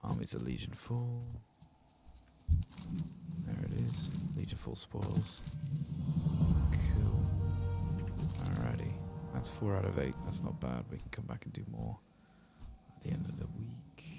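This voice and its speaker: A soft-spoken man giving ASMR video game commentary, hushed and often drifting into a whisper. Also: fairly low pitch, big pitch swings, slow, vocal fry, long pauses, over loud music.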